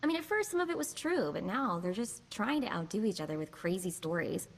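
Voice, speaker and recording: A woman's voice: mid-range voice, sing-song, brisk. A woman voicing a plucky, street-smart young slicer in a video game, wry and breezy, with clipped slangy talk.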